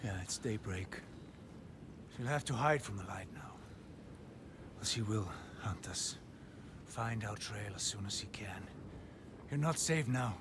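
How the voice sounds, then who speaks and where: An actor playing a grave, knowing older Norse guide in a video game, speaking in a solemn, measured way. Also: male voice, fairly low pitch, animated, background noise. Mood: wary, hushed. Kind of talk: dramatic video game acting.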